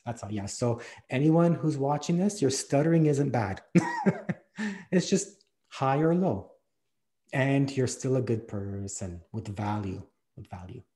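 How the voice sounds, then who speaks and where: An easygoing man in his forties who stutters openly talks through an interview at a middle pitch. His speech is casual and rambling and drifts off on tangents, broken up by laughter. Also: warm tone. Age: 40s. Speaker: podcast host and stuttering advocate.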